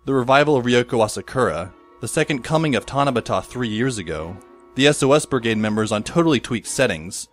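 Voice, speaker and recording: A man plays a resigned teenage schoolboy narrating an audio drama in a fairly low voice. He is dry and deadpan, with music playing clearly behind him. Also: lightly acted. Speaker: narrator and lead character. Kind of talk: first-person scripted audio drama narration. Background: instrumental music.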